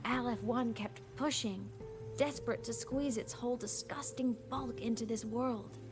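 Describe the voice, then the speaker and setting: A woman's voice: fairly high pitch, normal speed, music in the background, close to an everyday voice. A woman voicing a young video game heroine with a theatrical, dramatic delivery and wounded bravado. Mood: brooding, dramatic. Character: young heroine, vengeful toward her foe, wounded bravado.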